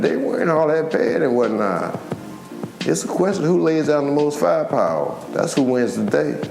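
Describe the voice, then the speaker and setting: A man's voice: medium pitch, gravelly. An older man giving an impassioned political speech in an emphatic, colloquial way, repeating phrases for emphasis. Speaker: political activist and former militant speaking. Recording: echoey room with music behind.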